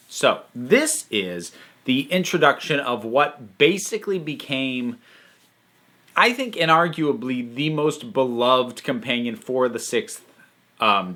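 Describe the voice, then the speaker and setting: A man's voice: mid-range voice, sharp tone. A man hosting a casual review monologue, talking in an enthusiastic, emphatic, conversational way. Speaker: host and reviewer.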